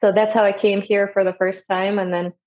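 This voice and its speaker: A woman's voice: mid-range voice, level tone, brisk. A woman telling her own story as an interview guest, on slightly lo-fi audio. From Kazakhstan and Germany. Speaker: company founder and CEO, interview guest. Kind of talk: conversational personal storytelling.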